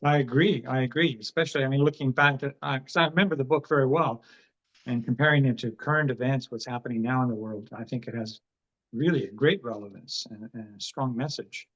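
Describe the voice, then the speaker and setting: A man from Canada hosting a podcast interview, relaxed and halting with many fillers. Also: mid-range voice. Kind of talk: casual interview. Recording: dull, muffled sound.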